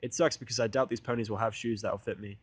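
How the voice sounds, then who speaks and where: A man's voice: on the low side, fairly even intonation, quick pace, breathy edge, no echo. A man reading a first-person story as an amateur audiobook narrator, in a casual, sardonic style.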